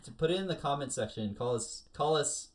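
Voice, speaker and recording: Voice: mid-range voice, animated, fairly quick. A co-host of an anime review show chatting casually in a jokey, slangy way.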